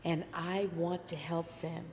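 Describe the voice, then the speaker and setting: A woman with a soft, somewhat low voice gives careful, measured advice as a co-host of a relaxed dating talk. Her voice carries a lingering reverb. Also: a little hiss or hum. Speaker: podcast co-host. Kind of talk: casual dating chat. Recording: long reverb.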